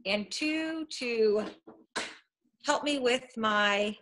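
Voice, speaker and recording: A woman in her forties giving a slow, self-deprecating introduction on a video call. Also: fairly high pitch, fairly bright tone, slight background noise, rough recording. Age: 40s. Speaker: new consultant.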